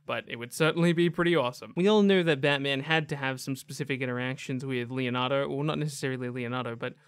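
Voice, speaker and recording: Chatty young man, medium-pitched gaming host. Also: fast talker, clean tone. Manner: chatty, slangy, effusive. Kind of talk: casual enthusiastic commentary.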